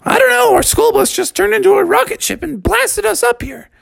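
A man voicing excitable, curious schoolkids in a children's story, high-pitched, boyish and animated. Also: a little fast, gravelly, heavily acted. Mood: excited, puzzled. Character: group of excitable, curious schoolkids stranded on the moon.

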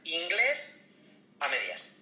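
A man's voice: fairly high pitch, wildly swooping pitch, takes it slowly, bright, forceful tone, reverberant, poor audio. A man from Barcelona with a Spanish accent, chatting casually and explaining things at length, often checking in with his listener. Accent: Spanish from Spain. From Barcelona, Spain. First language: Spanish, also Catalan. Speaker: guest. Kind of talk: casual conversation.

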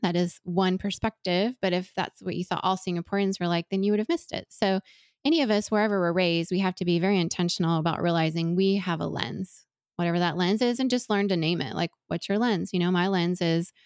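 Woman, forties, raised in Venezuela; earnest, reflective guest, lowish voice. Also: brisk, darkish tone, dry recording, clean studio sound. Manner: earnest, thoughtful, with frequent restarts. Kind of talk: reflective interview conversation.

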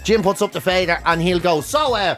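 A man's voice: high voice, fairly quick, a bit bright, soft background music. A man with an Irish accent, a radio presenter, telling anecdotes in casual banter and acting out exchanges. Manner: acts out both sides of remembered exchanges.

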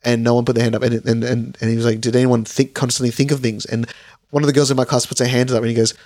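A man's voice: medium pitch, flat delivery, very fast, a little mellow, no room sound, clean studio sound. A man co-hosting a podcast in casual chat, rambling with lots of fillers and laughing easily. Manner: rambling, laughing, heavy use of filler words. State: voice lowered after a cold. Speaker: podcast co-host.

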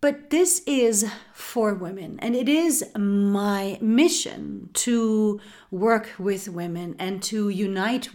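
A woman talks slowly and softly as she hosts a spiritual podcast. She speaks at an unhurried pace in a mid-range voice and calls her listeners sisters. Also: mid-range voice. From Germany. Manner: slow, soft-spoken, addresses listener as goddess and sister. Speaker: podcast host.

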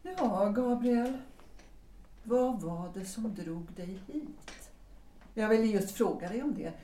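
An actress playing a composed, gently probing married woman in a period audio drama, with measured, deliberate phrasing. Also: medium pitch, animated, close to an everyday voice. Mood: gentle, probing. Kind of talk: stage-play audio drama acting. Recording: echoey, distant, noisy room sound.

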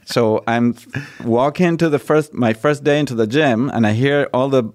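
A man's voice: mid-range voice, moderate pace, darkish tone. A man from Argentina, a priest hosting a podcast interview, telling stories in a chatty way with many fillers and restarts. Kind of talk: casual interview.

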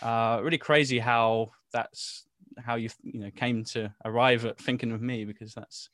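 A man being interviewed as a guest singer, chatty and quick to laugh, often restarting his sentences. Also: mid-range voice, fairly even intonation, a bit bright, no room sound, clean studio sound. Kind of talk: casual interview chat.

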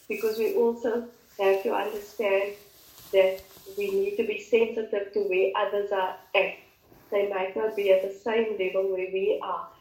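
A woman's voice: medium pitch, roomy sound, poor audio. A woman in a casual faith talk, reflective and sometimes searching for her words. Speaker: co-host.

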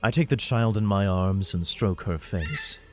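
A man playing a weary father who narrates a science fiction audio drama in the first person, grave and measured. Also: low voice, a little mellow. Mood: hushed, somber. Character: weary father fleeing with his son through a besieged hive city. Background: music.

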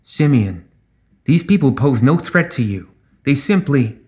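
A calm, proud female resurrectionist from a fantasy audiobook, performed by a man. Her raised voice rings out in measured oratory, with a cold edge beneath it. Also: a little high, pitched above the performer's own voice, natural voice. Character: proud, calm woman resurrectionist pleading for undead.

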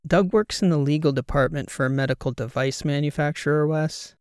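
A man's voice: fairly high pitch, fairly quick, smooth, no room sound, close to an everyday voice. A man voicing a skeptical, exasperated woman podcast co-host in an audiobook, with a dry, sarcastic manner. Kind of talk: audiobook character dialogue.

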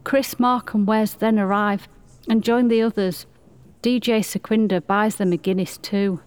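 A woman's voice: medium pitch, fairly even intonation, measured pace, a little mellow, a little hiss or hum. A woman reading scripted narration for a radio play.

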